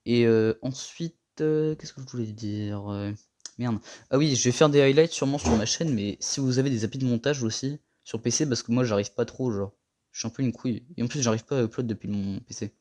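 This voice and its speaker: A young man giving a casual tour of his gaming setup, rambling and slangy, a little hoarse from a cold. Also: mid-range voice, a little fast, a little mellow. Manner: rambling, slangy and self-mocking. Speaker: gaming vlogger.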